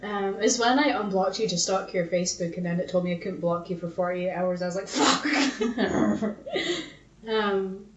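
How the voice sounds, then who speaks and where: A woman's voice: medium pitch, unhurried. A woman co-hosting a podcast in casual, candid chat, swearing freely and acting out her own thoughts as she tells stories. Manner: sweary, animated storytelling with quoted self-talk. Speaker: podcast co-host. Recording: echoey room, dull muffled sound.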